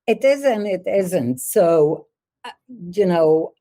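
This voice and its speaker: A woman born in Poland and raised in Israel speaks slowly in a low, mellow voice. She tells her stories in a thoughtful, measured way, as a guest in a podcast conversation. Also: animated. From born in Poland, grew up in Israel. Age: older. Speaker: guest, security expert.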